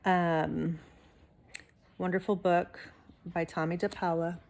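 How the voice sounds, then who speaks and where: A woman's voice: fairly low pitch, little pitch movement, takes it slowly, vocal fry, darkish tone. A woman reading a storybook aloud expressively to young listeners, with casual asides like a teacher.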